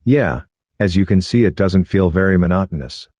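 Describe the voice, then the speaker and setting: A synthetic text-to-speech voice of a social robot, using a male voice and speaking in flat, clipped, repetitive phrases. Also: low voice, normal speed, no room sound.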